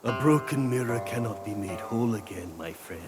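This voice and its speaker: An actor playing a grave, cryptic sage in a video game, speaking in a measured, poetic, solemn way. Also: male voice, medium pitch, animated, loud music behind the voice, close to an everyday voice. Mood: solemn counsel.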